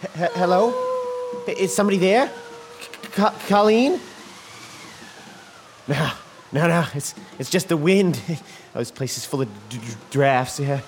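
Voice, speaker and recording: An actor playing a meek, nervous middle-aged husband, a washed-up talk show host who stammers through a comic audio drama. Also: male voice, mid-range voice, a little slow, a little hiss or hum, natural voice. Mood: nervous muttering.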